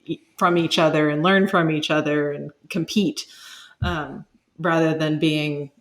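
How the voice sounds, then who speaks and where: A woman's voice: low voice, steady tone, a little slow. A woman, speaking as an expert guest and explaining ideas conversationally while searching for words.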